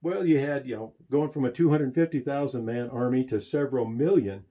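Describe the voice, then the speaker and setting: A man's voice: medium pitch, brisk, mellow. A man who owns the museum, giving an easygoing, knowledgeable guided tour. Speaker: museum owner.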